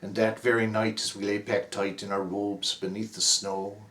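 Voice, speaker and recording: A man's voice: on the low side, flat delivery, moderate pace, fairly bright tone. A man with an Irish accent reciting a ballad as the narrator Cap, in a rhythmic, theatrical delivery. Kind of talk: dramatic poem recitation.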